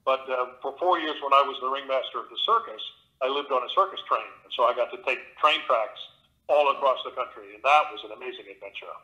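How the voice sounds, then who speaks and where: A man's voice: medium pitch, quick pace, dull audio. A middle-aged man, an actor and audiobook narrator, talking casually in an interview from his home studio with a theatrical, expansive manner.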